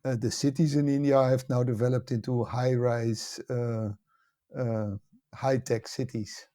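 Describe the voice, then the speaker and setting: A middle-aged or older man from the Netherlands with a Dutch accent, explaining things in a measured, conversational way as an interview guest. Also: medium pitch, fairly even intonation, mellow. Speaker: podcast guest, academy director and author.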